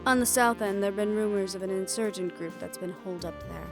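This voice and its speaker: A woman's voice: medium pitch, quick pace, gravelly, music in the background, lightly acted. A woman playing a poised, worldly hotel manager in an assassin underworld audio roleplay, speaking in a composed, gracious and knowing manner. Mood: businesslike, knowing. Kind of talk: roleplay audio drama acting.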